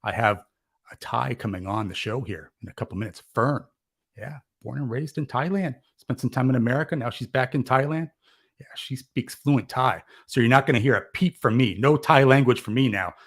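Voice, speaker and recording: A man's voice: medium pitch, a little fast, a bit bright. A man chatting casually as a live show host, with an enthusiastic, effusive way of talking.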